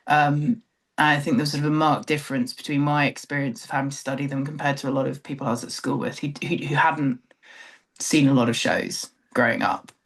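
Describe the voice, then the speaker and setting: A woman's voice: rumbling low, fairly even intonation, fairly quick. Reflective southern English woman in conversation. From London, England. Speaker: interview guest, theatre director. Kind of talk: conversational interview, personal recollection.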